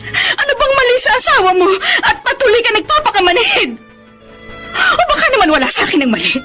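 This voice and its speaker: An actress with a Filipino accent playing a neglected, long-suffering middle-aged wife in a radio drama, emotional and reproachful, with music underneath. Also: female voice, very high-pitched, very animated, a little fast, bright, forceful tone, heavily acted. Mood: anguished. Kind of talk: Philippine radio drama acting.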